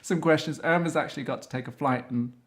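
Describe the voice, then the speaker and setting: A man hosting a casual interview, chatty and quick to jump in with comments and laughs. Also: mid-range voice. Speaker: interviewer and show host.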